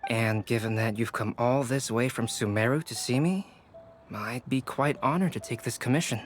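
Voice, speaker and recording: A man voicing a calm, cultured young alchemist in a video game, speaking in measured, courteous, formal phrases. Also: mid-range voice, faint music behind, close to an everyday voice. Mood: gracious, thoughtful. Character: calm, cultured young male alchemist and artist, politely thoughtful.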